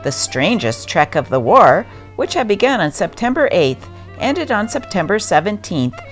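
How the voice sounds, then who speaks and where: A woman's voice: mid-range voice, big pitch swings, moderate pace. A woman hosting and reading old newspaper articles aloud as scripted narration. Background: music.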